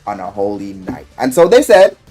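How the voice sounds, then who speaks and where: A man's voice: fairly low pitch, big pitch swings, normal speed, a little mellow. A man giving casual, loosely scripted video commentary, rambling and emphatic, with slangy, profane talk. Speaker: YouTuber reviewing a visual novel. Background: music.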